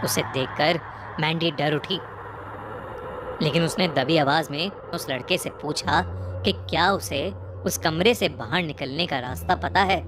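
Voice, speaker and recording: A woman narrating a scripted horror story in a measured, suspenseful way, phrase by phrase. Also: sits low, background noise. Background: prominent music bed.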